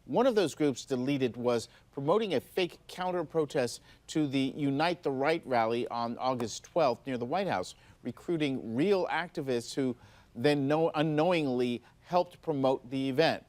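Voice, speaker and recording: A man with a medium-pitched voice works as a morning news anchor. He presents stories in a scripted newsreading style. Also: unhurried, darkish tone.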